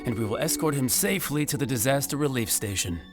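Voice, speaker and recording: A man portrays a military guard in a video game with a medium-pitched voice. The soldier sounds official, formal and brisk, with music clearly behind. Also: lively intonation, close to an everyday voice. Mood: dutiful.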